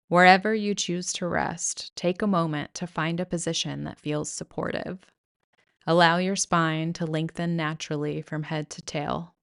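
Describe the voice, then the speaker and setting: Woman leading a guided meditation, real low and even, gentle n soothing, lots of pauses. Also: creaky, smooth. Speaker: yoga nidra guide.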